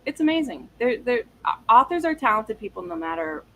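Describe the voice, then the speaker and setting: A woman chatting casually and excitedly on a livestream, with slangy, sweary, gushing talk. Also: a little high, a little fast, poor audio. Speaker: book livestream co-host. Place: live online video chat. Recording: faint background noise.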